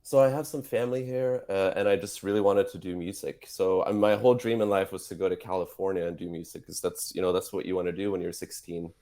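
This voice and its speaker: A man's voice: deep voice, fast, few pauses. A man from Norway, a musician and guitar teacher, chatting casually as a guest on a radio show, speaking over the phone. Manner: relaxed, chatty storytelling. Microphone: phone line.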